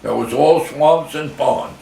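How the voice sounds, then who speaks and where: An older man reminiscing in a casual oral history interview, talking in halting, rambling phrases and repeating himself. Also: on the high side, gravelly, dark tone. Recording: echoey room, distant mic, faint noise.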